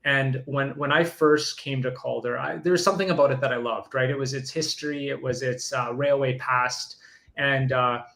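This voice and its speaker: A man, a local business owner, answering interview questions in a relaxed way, repeating words as he thinks. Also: mid-range voice, normal speed, vocal fry, reverberant. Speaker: guest, business owner and community leader.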